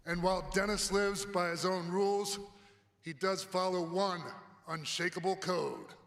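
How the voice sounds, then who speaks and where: Gravelly high-pitched male speaker, long arena reverb. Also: fairly even intonation, unhurried, fairly bright tone. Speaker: ceremony speaker. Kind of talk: ceremony speech. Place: large arena hall.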